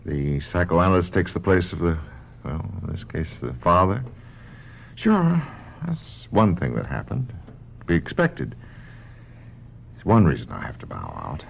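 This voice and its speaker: An actor playing a grave, patient, conflicted psychoanalyst in a radio drama, explaining himself in a measured, hesitant way. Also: male voice, deep voice, huge pitch swings, creaky, exaggerated voice. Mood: troubled, resigned. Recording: muffled lo-fi radio drama, faint noise.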